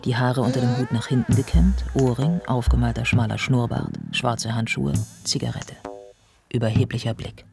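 A man's voice: medium pitch, fairly even intonation, normal speed, gravelly, music in the background, natural voice. A man acting as a cool, observant narrator in a scripted radio drama, setting out details in clipped phrases.

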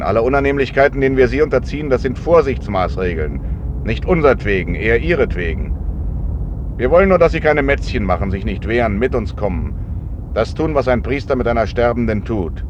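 An actor playing a cool, commanding gangster in a German radio play, speaking with measured, formal and ironic politeness. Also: male voice, close to an everyday voice. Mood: cool, reassuring. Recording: muffled radio play with loud car rumble.

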